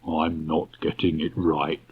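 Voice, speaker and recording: A man voicing a gentle, slow-witted toy bear from a storybook, earnest and puzzled, speaking slowly and hesitantly. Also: extremely low pitch, flat delivery, breathy edge, exaggerated voice. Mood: wistful. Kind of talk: storybook character voice. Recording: dull restored cassette tape.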